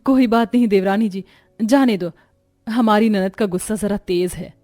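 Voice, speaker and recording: A woman voicing a calm, welcoming eldest daughter-in-law in a cartoon, speaking in a soothing way. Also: mid-range voice, moderate pace, no room sound, faint music behind, lightly acted.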